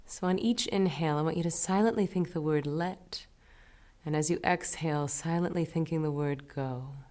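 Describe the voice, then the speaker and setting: A low-voiced woman leads a guided meditation. She gives her instructions gently and soothingly, taking her time with each phrase. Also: normal speed.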